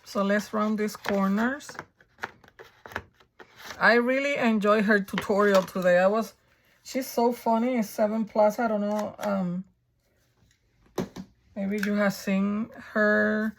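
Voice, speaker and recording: A woman with a raspy, hoarse voice from a coming flu, chatting her way through a crafting tutorial and thinking aloud as she works. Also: mid-range voice, steady tone, slow pace, long pauses, a little hiss or hum. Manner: chatty, thinking aloud while working, frequent ums. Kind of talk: casual step-by-step crafting tutorial.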